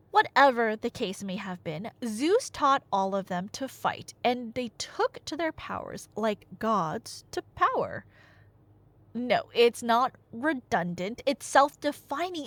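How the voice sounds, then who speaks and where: A woman's voice: on the high side, dry recording. A woman playing a nerdy, chatty, playfully bossy girlfriend who rambles through myths in a conversational ASMR roleplay. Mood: eager storytelling, then playfully indignant. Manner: rambling, animated, tangent-prone, mock-indignant.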